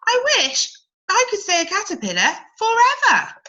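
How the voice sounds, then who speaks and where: A woman's voice: very high-pitched, wildly swooping pitch, normal speed, pushed and bright, muffled recording, voice pushed up, full character voice. A woman voicing a cautious, reluctant young female caterpillar in a children's storybook, sweet, hesitant and a little wistful. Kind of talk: children's storybook read-aloud.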